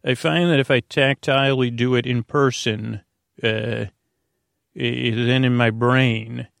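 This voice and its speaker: American guy doing a sleepy-time podcast, real creaky voice, wanders off with lots of ums, super dry recording. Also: mid-range voice, steady tone, slow, fairly bright tone, no room sound. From United States. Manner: meandering, hesitant, lulling, full of fillers and tangents. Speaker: podcast host. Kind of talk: rambling bedtime podcast monologue.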